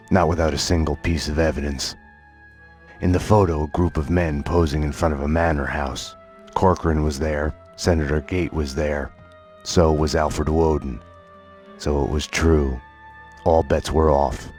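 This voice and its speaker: A very deep-voiced, world-weary detective broods in deadpan hard-boiled game narration. His delivery is terse and sardonic, with music clearly behind him. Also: normal speed. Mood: grim, brooding. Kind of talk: noir scripted narration and game dialogue.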